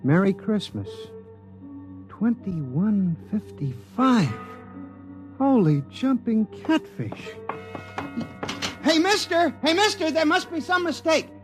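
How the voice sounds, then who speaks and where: A man's voice: very high-pitched, big pitch swings, takes it slowly, pauses often, heavily acted. An actor playing a timid, easily bewildered young man in a 1950s radio drama, hesitant and polite. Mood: puzzled, astonished. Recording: vintage radio recording with music.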